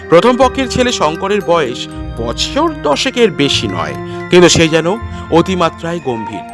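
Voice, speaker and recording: Man narrating with animated, higher-pitched delivery. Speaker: audio story narrator. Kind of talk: dramatic scripted narration of a detective story.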